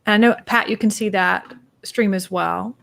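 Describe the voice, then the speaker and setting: A woman's voice: mid-range voice, a little slow, gravelly, fairly bright tone. A woman hosting a live online forum, thanking viewers and reading out their questions. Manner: upbeat, reads out viewer questions. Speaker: event host. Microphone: video call.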